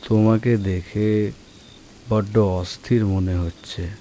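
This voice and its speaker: A man playing an eerie, ominous old stranger in an audio horror drama, speaking in slow, drawn-out, foreboding questions. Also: on the low side, fairly even intonation, dark tone. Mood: calm, probing. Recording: heavy rain and storm noise.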